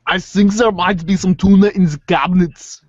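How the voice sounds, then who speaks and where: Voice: medium pitch, normal speed, no room sound, natural voice. A performer playing an articulate, sardonic, exasperated girl in amateur readers' theater, wry, wordy and precise. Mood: puzzled. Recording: muffled lo-fi audio.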